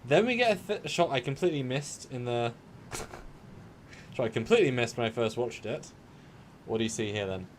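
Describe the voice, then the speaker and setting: Lively chatty man on a call. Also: medium pitch, brisk, long pauses, slight background noise. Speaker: co-host. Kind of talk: casual live discussion. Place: home room with shelves. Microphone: video call.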